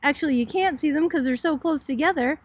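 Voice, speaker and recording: A middle-aged woman with a high voice delivers an informal educational talk. She explains things conversationally, as a college lecturer would in a homemade video. The sound is dry, with little room echo. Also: quick pace. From Boston, United States.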